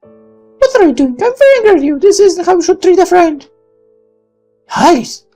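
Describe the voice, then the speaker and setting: Guy doing a really high girly kid voice for a visual novel, sweet n playful, music behind. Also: big pitch swings, moderate pace, dark tone. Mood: angry. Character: young girl, sweet, playful, friend of the hero. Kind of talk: visual novel character read-aloud.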